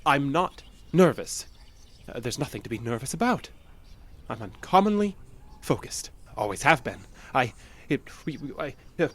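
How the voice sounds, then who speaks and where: An actor in an audio drama playing an obsessive, unstable male patient with a halting, nervous, insistent manner. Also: medium pitch, very animated. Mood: agitated, defensive. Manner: halting, nervous, insistent, stammering under pressure.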